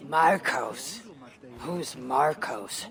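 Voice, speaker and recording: A woman's voice: very deep voice, lively intonation, very slow, full character voice. An actress playing a confident, teasing young Viking raider woman in video game dialogue. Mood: boastful, teasing.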